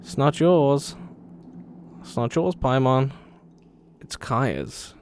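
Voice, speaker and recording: A man's voice: mid-range voice, animated, drawn-out words, noisy background. A man giving casual let's-play commentary with sarcastic, quippy asides. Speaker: gamer, let's-player. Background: game music.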